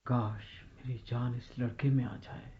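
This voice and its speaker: An actor playing a devout, compassionate prophet in a religious drama, with a husky, breathy voice and a solemn, pleading manner. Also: male voice, medium pitch, steady tone, muffled recording, a little hiss or hum, lightly acted. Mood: sorrowful pleading. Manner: solemn, pleading, slow in prayer.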